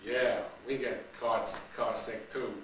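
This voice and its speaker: A man playing a slow-witted young man who delivers everything deadpan. The sound is distant and echoing, with faint ambience. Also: mid-range voice, a little hiss or hum. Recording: roomy room, distant mic, faint ambience.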